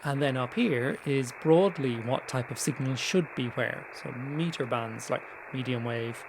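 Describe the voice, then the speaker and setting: A man with a mid-pitched voice talks through a how-to tutorial in a casual, informal way. His delivery is conversational, with small hesitant asides. There is clear noise in the recording behind him. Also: a little slow. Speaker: tutorial presenter. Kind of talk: casual screen-share tutorial narration.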